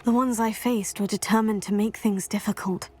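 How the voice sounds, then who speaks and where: A woman's voice: mid-range voice, fairly even intonation, normal speed, warm tone, breathy edge, natural voice. A young woman playing a polite, kind student witch in a video game. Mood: kind, pleased. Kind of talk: acted video game dialogue.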